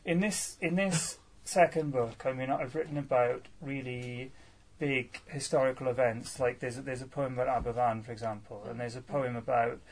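A man's voice: medium pitch, steady tone, moderate pace, darkish tone. Welsh-born man, fillers, restarts, self-deprecating, mid-range voice. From Wales. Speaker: featured poet answering audience questions. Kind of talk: casual live Q&A at a poetry salon.

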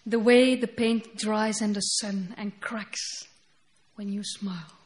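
A woman whose first language is Dutch, speaking English with a Dutch accent as she reads her poetry slowly and deliberately on a festival stage. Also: mid-range voice, fairly even intonation. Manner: slow, deliberate reading with halting English asides. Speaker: poet. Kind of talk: poetry reading. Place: festival stage before an audience.

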